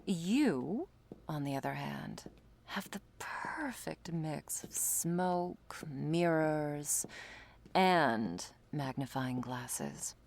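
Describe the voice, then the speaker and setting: A woman playing a smug, predatory female vampire in an ASMR roleplay, flirtatious and taunting, with teasing, drawling, breathy delivery. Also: sits low, lively intonation, drawn-out words, darkish tone, a little hiss or hum, full character voice. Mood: smooth flattery.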